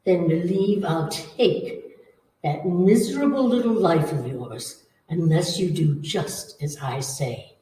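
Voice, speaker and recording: Female ghost, played by an actress, taunting and commanding, swooping pitch, distant echoey sound. Radio horror play. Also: animated, mellow. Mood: menacing. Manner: slow, commanding, taunting, theatrical. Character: menacing, coaxing female ghost hungry for blood and life. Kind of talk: old-time radio horror acting. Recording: echoey room, far from the mic.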